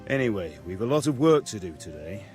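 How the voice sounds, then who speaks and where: A gruff joking blacksmith father. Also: lowish voice, very animated, fairly quick, darkish tone, faint music behind. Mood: gruff teasing. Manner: blunt, teasing, bossy. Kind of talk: video game cutscene acting.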